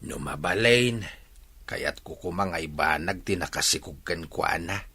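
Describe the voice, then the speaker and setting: An actor playing a stern, hot-tempered older father in a radio drama, scolding loudly. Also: sits low, lively intonation, unhurried, slightly rough, heavily acted. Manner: scolding, shouting.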